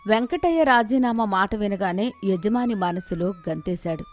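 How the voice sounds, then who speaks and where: A woman reads a moral tale as its narrator. Her delivery is scripted and expressive, at a middling pitch, with music playing behind her. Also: normal speed. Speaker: story narrator.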